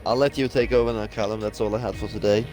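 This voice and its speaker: A man's voice: lowish voice, fairly even intonation, quick pace, darkish tone, soft background music. A man running a racing trivia quiz in a casual chat, laid-back and keen to add extra facts. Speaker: quizmaster.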